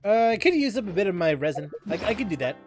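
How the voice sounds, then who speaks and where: A man's voice: sits high, big pitch swings, fast talker, faint music behind. A man streaming a video game, rambling to himself with chuckles and repeated phrases as he plays. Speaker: gaming streamer. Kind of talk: casual gameplay commentary.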